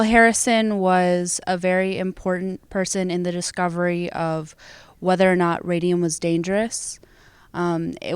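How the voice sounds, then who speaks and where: A woman's voice: fairly low pitch, clean tone, dry recording. A teenage female student actor with an American accent, explaining her role in an interview.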